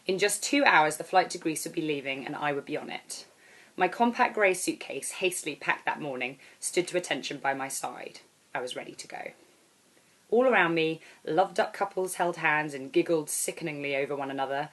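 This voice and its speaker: A woman reading aloud as an author, in expressive storybook narration. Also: low-pitched, brisk. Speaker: author reading own novel.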